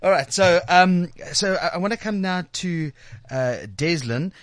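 A man's voice: high-pitched, measured pace, fairly bright tone, no echo. A South African man hosting a radio show, chatting informally and reading sponsor plugs in an effusive, jokey way, often repeating himself. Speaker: radio host. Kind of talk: informal chat and live sponsor reads.

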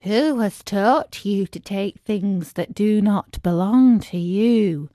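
Woman as a slow, pitying little glowworm. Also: mid-range voice, dry recording, studio quality, lightly acted. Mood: gently scolding. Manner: gentle, moralizing. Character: pitying, gently scolding little female glowworm. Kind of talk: children's storybook character voice.

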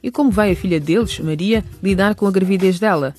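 A female announcer delivers scripted narration for a radio serial. Her voice sits at a middle pitch, with music playing faintly underneath. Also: brisk, darkish tone.

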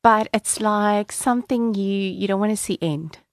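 A woman's voice: medium pitch, normal speed, no room sound, studio quality. A woman hosting a talk about faith, responding with frequent affirmations. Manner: affirming, frequent short agreements. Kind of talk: casual conversation on faith.

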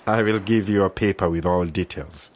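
Low-pitched, genial institute director played by a man. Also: normal speed, natural voice. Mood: patient, helpful. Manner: formal, patient, explanatory. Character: genial, helpful male director of a journalism institute. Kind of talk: radio drama acting.

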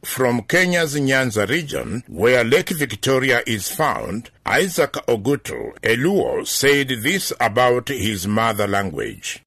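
A man, a veteran correspondent, reading a scripted news report with lively delivery. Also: medium pitch, takes it slowly, gravelly, few pauses, husky, no echo.

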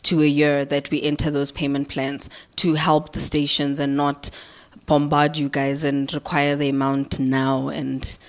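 A woman's voice: deep voice, flat delivery, unhurried. A woman with a South African accent answering audience questions as a licensing manager, explanatory and emphatic.

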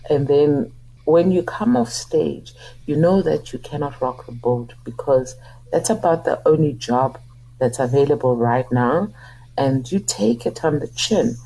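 A woman's voice: low-pitched, animated, measured pace, warm tone, a little hiss or hum, rough recording. A middle-aged woman from South Africa, an opera singer speaking earnestly and with passion as a guest in a radio interview. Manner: earnest, impassioned, speaks out against abuse. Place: radio studio.

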